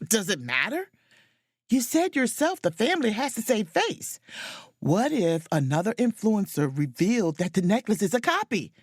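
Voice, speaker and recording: A woman playing a confident, sharp-witted, proud and flirtatious sleuth in an audio drama, with an emphatic, theatrical delivery. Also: mid-range voice, big pitch swings, moderate pace, husky, no room sound, clean studio sound. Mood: scheming, excited. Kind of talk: audio drama acting with first-person narration.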